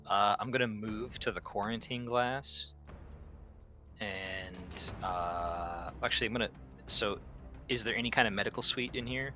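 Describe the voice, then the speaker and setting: A man's voice: lowish voice, a little slow, sharp tone. A man playing a tabletop game, chatting casually and piecing his questions together aloud in a hesitant way. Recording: prominent music behind voice.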